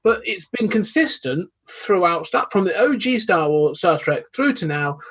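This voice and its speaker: A man talks on a podcast in a loose, animated way, circling back on himself as he builds his point. His voice sits a little high and sounds husky. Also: normal speed. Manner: rambling, animated, many restarts. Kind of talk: casual podcast chat.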